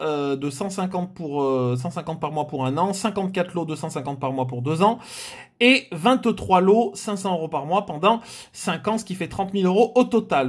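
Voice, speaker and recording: A man chats casually as the host of a scratch-card video. He talks in a relaxed, unhurried way, pausing often and filling the gaps with hesitations. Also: high voice. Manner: relaxed, hesitant, many "euh" fillers. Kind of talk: casual chat to camera.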